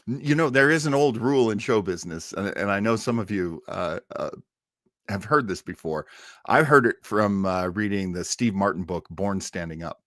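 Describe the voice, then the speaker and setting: A man's voice: on the low side, a bit bright, dry recording, clean studio sound. A man hosting a casual show about old-time radio, chatting in a rambling, jokey way. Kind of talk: casual livestream chat reading viewer comments.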